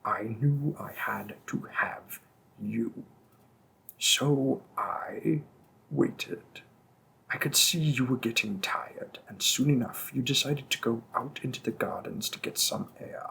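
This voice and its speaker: A man voicing a possessive, seductive male demon king in an audio roleplay, speaking softly and often in a whisper, slow and teasing. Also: mid-range voice, vocal fry, slightly rough, full character voice, poor audio.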